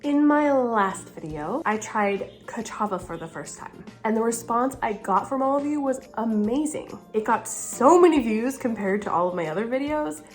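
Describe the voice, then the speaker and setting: A young woman vlogging casually from home, her voice raspy and hoarse from being sick, chatty and upbeat. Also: mid-range voice. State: hoarse from illness. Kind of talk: casual recipe vlog. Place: living room at home, indoors.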